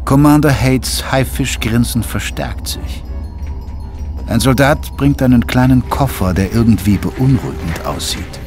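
A man's voice: mid-range voice, a little slow, darkish tone. A man narrating a scripted children's audio drama as its storyteller. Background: music.

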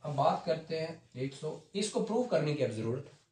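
High-pitched Pakistani man lecturing in echoey room. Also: sing-song, normal speed, darkish tone. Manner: explanatory, repeats key points, mixes in English legal terms. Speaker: law lecturer. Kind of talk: classroom lecture with questions and explanations. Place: small room at a whiteboard, indoors. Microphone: camera a few steps away. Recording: roomy echoing indoor recording.

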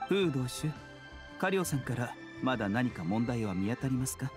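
A courteous, guarded young doctor played by a man in an anime game. He is soft-spoken and measured, with a mid-pitched, lilting voice over faint music. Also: big pitch swings, natural voice. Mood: smooth, guarded.

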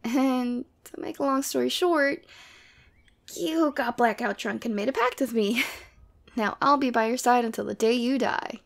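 Woman acting teasing demon girlfriend, creaky high voice. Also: measured pace. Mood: teasing, caring. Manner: casual, teasing, playfully exasperated. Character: teasing, sweet, clingy young female demon girlfriend. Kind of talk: ASMR roleplay audio drama.

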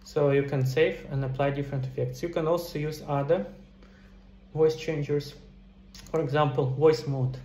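A man's voice: fairly high pitch, fairly even intonation, moderate pace, darkish tone. A middle-aged man casually explaining game development steps in a screen-recorded tutorial, talking into a headset indoors. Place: small indoor room at a computer. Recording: roomy echo, faint background noise.

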